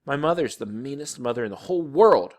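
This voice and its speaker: A man's voice: medium pitch, expressive, fairly quick, natural voice. A man voicing an indignant grade-school older brother in a storybook, plaintive and exasperated. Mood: sulky. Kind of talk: audiobook character voice.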